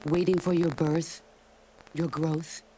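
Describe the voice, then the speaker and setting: Grave, low-voiced veteran soldier mentor. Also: female voice, little pitch movement, mellow, a little hiss or hum, poor audio. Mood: solemn, wistful. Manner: measured, grave, philosophical delivery. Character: legendary middle-aged female soldier mentor, solemn and philosophical. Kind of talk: dramatic video game cutscene monologue.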